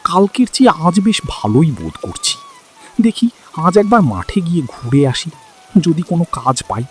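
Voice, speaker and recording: A man's voice: on the high side, wildly swooping pitch, moderate pace. A man voicing a poor, weary village husband in a cartoon, with a fretful manner. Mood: worried. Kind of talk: cartoon character dialogue.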